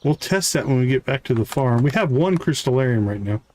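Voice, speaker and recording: A man's voice: mid-range voice, lively intonation, brisk, a little mellow, slight background noise. A man live streaming a video game, giving casual commentary as he thinks aloud and chats to viewers.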